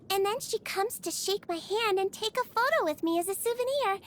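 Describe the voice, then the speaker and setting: A woman playing a small, sweet, earnest creature who works as a nurse in a video game, gentle and bubbly with an innocently clinical manner. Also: extremely high pitch, slight background noise. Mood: admiring. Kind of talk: video game character voice lines. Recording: music behind the voice.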